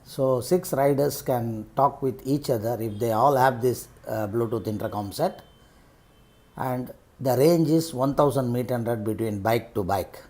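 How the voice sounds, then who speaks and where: Middle-aged Indian-accented man, halting delivery. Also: mid-range voice, dark tone. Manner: halting, piecemeal phrasing. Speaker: vlogger reviewing rider gear. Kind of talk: unscripted unboxing commentary.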